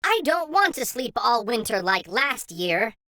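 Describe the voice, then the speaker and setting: A man doing a high, pleading little hedgehog boy. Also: sing-song, measured pace, pushed and bright, no echo, clean studio sound, exaggerated voice. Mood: eager. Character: eager young male hedgehog, sleepy but stubborn.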